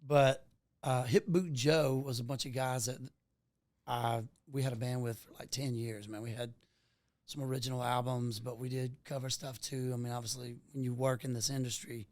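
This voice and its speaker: A man's voice: mid-range voice, fairly even intonation, moderate pace, darkish tone, dry recording. Southern guy from louisiana, folksy rambling podcast chat, dry close sound. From Louisiana, USA. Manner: folksy, rambling, peppers talk with "man". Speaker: guest, working musician.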